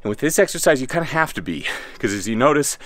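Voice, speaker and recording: A relaxed, upbeat man in his thirties talks through a home workout as a fitness coach, tossing in an easygoing "baby" now and then. His voice sits at a middle pitch and is recorded close and dry, with no room sound around it. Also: quick pace, dry recording. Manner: laid-back, enthusiastic, says "baby". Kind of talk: workout voice-over instruction. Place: outdoor home gym by a garage.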